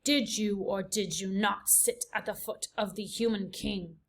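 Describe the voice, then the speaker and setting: A woman's voice: mid-range voice, steady tone, measured pace, fairly bright tone. A woman voicing an elderly male mouse leader who speaks formally and commandingly. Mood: stern.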